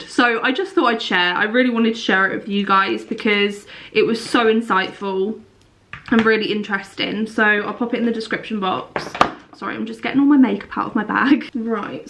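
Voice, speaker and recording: A young woman with a slightly high voice talks to her viewers in a chatty, rambling way. She sounds stuffed up and sniffly from a cold, as she chats casually at home. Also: a bit bright. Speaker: lifestyle vlogger. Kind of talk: casual vlog chat to camera.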